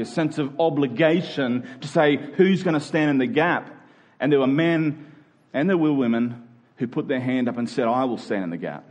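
A man's voice: mid-range voice, darkish tone, dull audio. A man with an Australian accent preaching a conversational sermon, explaining step by step and leaning on key phrases. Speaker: pastor.

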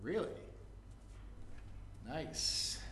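A man's voice: extremely slow, fairly bright tone, slight background noise. A man playing a brash, athletic older brother in his thirties who needles in mocking slang, in a staged comedic play reading. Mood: teasing. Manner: slangy, mocking, jock-like delivery. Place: indoor room before a live audience. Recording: roomy live stage recording.

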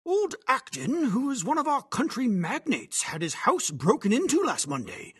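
A man's voice: very high-pitched, wildly swooping pitch, moderate pace, a bit bright, husky, voice pushed up, heavily acted. A man reading an audiobook voices a hearty, chatty old retired army colonel. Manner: bluff, chatty, exclamatory. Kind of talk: audiobook character voice.

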